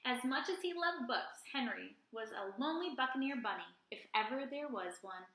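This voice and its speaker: A woman teacher reading a storybook aloud to children with expressive narration, recorded in an echoey room. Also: high-pitched, normal speed.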